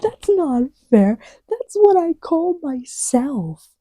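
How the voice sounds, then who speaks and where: A woman playing a playful, distracted young girlfriend in an intimate ASMR roleplay, murmuring in a halting, teasing way. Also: high-pitched, sing-song, mellow, dry recording, exaggerated voice. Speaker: audio roleplay voice actor.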